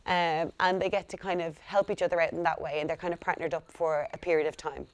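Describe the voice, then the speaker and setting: A young woman working as a school liaison coordinator, explaining her work in a steady, earnest way. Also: lowish voice, quick pace, no echo. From Ireland. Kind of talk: speaking to camera about her work.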